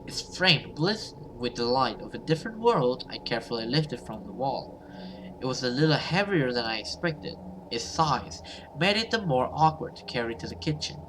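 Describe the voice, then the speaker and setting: A man's voice: medium pitch, big pitch swings, normal speed, background noise. A man reading a horror story aloud as a gamer, narrating and voicing dialogue, sometimes stumbling and rereading. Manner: stumbles over words and rereads.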